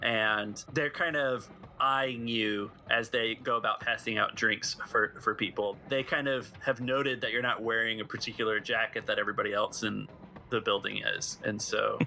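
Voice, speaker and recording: A man's voice: fairly low pitch, fairly even intonation, normal speed, creaky, bright, forceful tone, faint music behind, rough recording. A man narrating a tabletop roleplaying game as game master, in conversational fashion.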